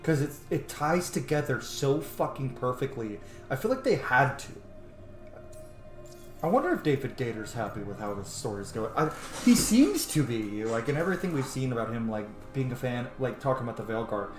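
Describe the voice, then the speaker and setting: A man's voice: medium pitch, sing-song, normal speed. Casting: male gaming streamer, mid-range voice, off-the-cuff sweary commentary, roomy echo. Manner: informal, sweary, thinking aloud. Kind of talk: casual game playthrough commentary. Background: faint game music.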